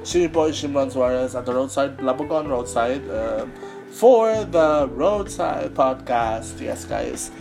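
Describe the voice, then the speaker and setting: A man with a raspy voice from a cold hosts a casual solo podcast. He rambles along with plenty of fillers and restarts. Faint music plays behind him. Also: medium pitch, lively intonation, unhurried. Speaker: podcast host.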